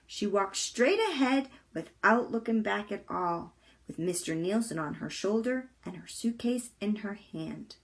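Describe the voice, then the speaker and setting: Animated woman reading a children's story. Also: mid-range voice, a little slow. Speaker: volunteer storytime reader. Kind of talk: children's book read-aloud.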